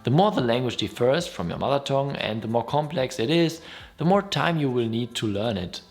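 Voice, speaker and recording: A man's voice: medium pitch, lively intonation, brisk. A man from Germany with a German accent, a native German speaker hosting a casual explainer vlog in a friendly, upbeat, conversational way. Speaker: YouTube host.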